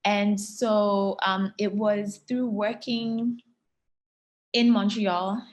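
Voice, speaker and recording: A woman from Ghana in her thirties, a fashion stylist answering interview questions thoughtfully, with frequent fillers and soft laughs. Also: mid-range voice, little pitch movement, very slow.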